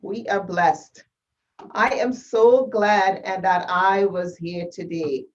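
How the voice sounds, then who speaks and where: Female summit host, relaxed with many fillers, distant dull recording. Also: medium pitch, takes it slowly. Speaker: event host. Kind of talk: hosting an online summit. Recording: dull audio from a distant mic.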